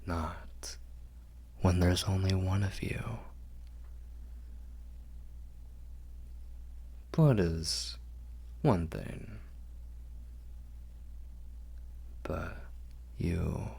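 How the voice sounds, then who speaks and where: A man's voice: deep voice, very slow, vocal fry, pauses often, mellow, breathy edge, full character voice. A man playing an ancient, possessive and doting vampire lord in an intimate ASMR roleplay, murmuring formally and drifting into whispers. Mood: brooding, tender.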